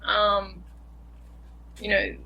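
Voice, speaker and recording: A woman's voice: mid-range voice, monotone, very slow, vocal fry, lo-fi sound. A young woman filmmaker answering interview questions in an earnest, thoughtful way, often searching for words. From Australia.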